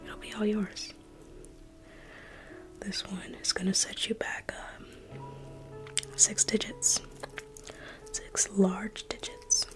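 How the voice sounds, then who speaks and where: A woman's voice: medium pitch, level tone, slow pace, pauses often, over music. A young woman whispering an ASMR roleplay as a museum guide, playful and teasing like a salesperson.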